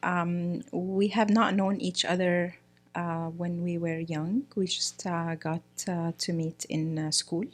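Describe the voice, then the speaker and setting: Hesitant soft-spoken woman from Egypt. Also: lowish voice, little pitch movement, takes it slowly. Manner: hesitant, many fillers and restarts. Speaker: guest, priest's wife. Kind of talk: casual interview conversation.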